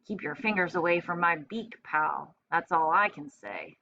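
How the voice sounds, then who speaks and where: A woman voicing a grumpy, sarcastic, indignant pet parrot in a children's storybook diary, with deadpan, exasperated complaining. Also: fairly low pitch, moderate pace, gravelly, dull audio. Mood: indignant, boastful. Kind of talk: children's storybook read-aloud in diary form.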